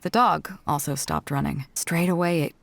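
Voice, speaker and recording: A woman's voice: deep voice, moderate pace, creaky, slight background noise. An actress voicing a bold, seasoned seafaring woman in a video game, frank and self-assured as she tells her story. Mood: somber, reflective. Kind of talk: video game character dialogue. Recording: music behind the voice.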